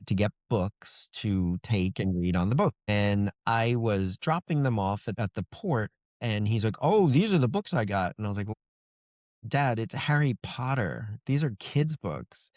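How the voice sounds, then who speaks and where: A man's voice: fairly low pitch, animated, normal speed, darkish tone, dry recording, clean studio sound. A middle-aged man speaking as an interview guest, telling things in a casual, reflective, conversational way. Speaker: fantasy author, interview guest.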